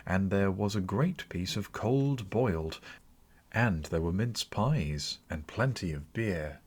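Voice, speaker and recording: Expressive, slow-paced male audiobook narrator. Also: deep voice, slightly rough. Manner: expressive, theatrical storytelling. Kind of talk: dramatic scripted narration of classic fiction.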